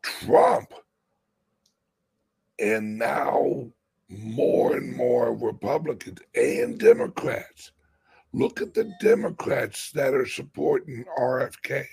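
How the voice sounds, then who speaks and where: Slow raspy man with gravelly voice. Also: medium pitch, huge pitch swings, a little mellow. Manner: emphatic, rambling with restarts. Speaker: crypto show host. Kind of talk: casual political chat.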